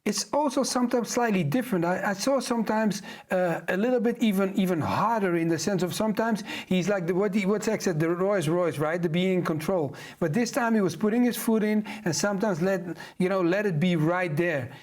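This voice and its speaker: A Dutch man with a notably high voice speaks in English with a clear Dutch accent. He is a football pundit on a studio panel, animated and quick to laugh, repeating phrases as he builds his point. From Netherlands. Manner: animated, laughs easily, repeats himself for emphasis.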